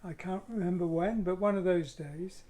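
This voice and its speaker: A man, a little hoarse today, explaining his painting as he works in a casual live demo, with soft, dry, understated replies. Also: sits high, normal speed. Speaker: artist demonstrating painting.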